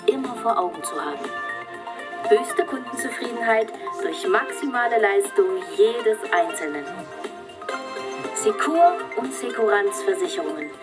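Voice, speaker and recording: An actress voicing a polished corporate promo video in a radio drama, with smooth advertising delivery. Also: female voice, on the high side. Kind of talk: scripted promo voiceover. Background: music.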